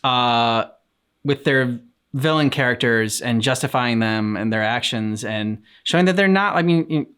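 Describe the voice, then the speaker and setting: A man giving casual reaction commentary in a halting way, thinking aloud. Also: mid-range voice, fairly bright tone, clean tone. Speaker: reaction channel host. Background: faint game music.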